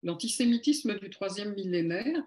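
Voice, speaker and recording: A woman's voice: medium pitch, animated, moderate pace, a little mellow. A woman giving a polemical lecture at an evening meeting, with sardonic, ironic asides.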